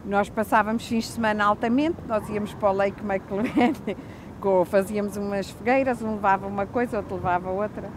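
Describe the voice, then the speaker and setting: A woman's voice: mid-range voice, steady tone. Older woman from mozambique remembering things, stops and restarts a lot, noisy outside sound. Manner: reflective, halting with restarts. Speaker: interviewee. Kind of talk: personal recollection.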